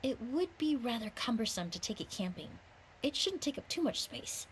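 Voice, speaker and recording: An actress playing a composed, precise young woman perfumer in a video game, speaking in a measured, formal, analytical way. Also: on the high side, very animated, slight background noise. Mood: polite, reassuring.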